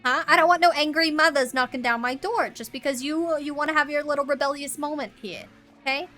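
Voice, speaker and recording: Woman acting out nagging mom, New York accent, high nasal voice, combative, repeats herself, faint music behind. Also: a little fast, pushed and bright. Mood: bossy. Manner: combative, repetitive, swearing, mock-offended. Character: brash, exasperated nagging mom, nasal Fran-the-Nanny type.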